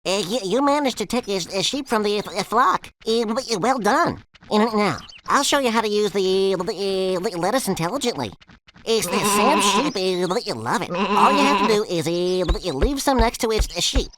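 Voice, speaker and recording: A man's voice: high-pitched, animated, a bit bright. A man voicing a timid, friendly, dim pig farmer in a cartoon video game, bumbling along with a heavy stutter. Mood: bumbling, helpful. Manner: hesitant, bumbling, halting. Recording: slightly noisy game audio capture.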